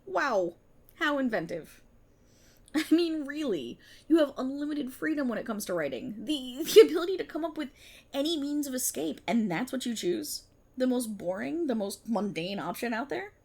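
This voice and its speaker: Woman acting a sardonic, breathy, high-pitched policewoman. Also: sing-song, moderate pace, a little mellow, exaggerated voice. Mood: sarcastic, exasperated. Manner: sarcastic, mocking, theatrical. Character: sardonic, menacing police officer character, resentful and self-aware. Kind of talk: ASMR roleplay acting.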